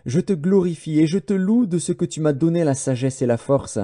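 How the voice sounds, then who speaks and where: A man's voice: fairly high pitch, expressive, brisk, dark tone, pitched above the performer's own voice. A man reading the part of a devout, prudent young Hebrew man, reverent and solemn, in a scripture audiobook.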